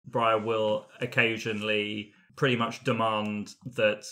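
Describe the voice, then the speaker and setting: Bright-voiced man, playful and chatty. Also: on the low side, level tone, unhurried. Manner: playful, chuckles through sentences. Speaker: player in a tabletop roleplaying game. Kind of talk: casual character discussion among friends.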